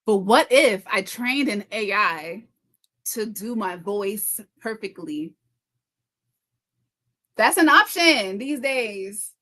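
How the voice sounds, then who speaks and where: A woman hosting a casual livestream, reading out comments and chatting in a quick, stumbling way, often repeating her phrases. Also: medium pitch, lively intonation, bright, forceful tone. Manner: talks fast, stumbling and repeating herself. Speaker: livestream host and content creator. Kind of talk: casual live chat reading viewer comments aloud.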